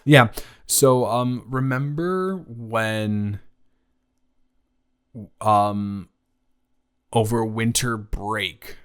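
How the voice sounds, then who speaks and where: A man acts out a flustered young college guy in an intimate audio roleplay. His voice sits a little low and he takes his time, speaking very slowly with stammers and halting starts. He comes across as shy and tender toward an old childhood friend. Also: clear, resonant tone. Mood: gentle, reminiscing. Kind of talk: improvised intimate audio roleplay addressed to listener.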